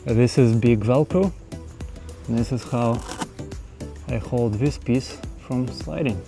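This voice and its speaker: A man's voice: mid-range voice, takes it slowly, creaky, music in the background. A man hosting an informal hands-on how-to video, explaining things in a loose, rambling, unscripted way. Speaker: host of a truck build how-to video. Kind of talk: casual unscripted hands-on walkthrough.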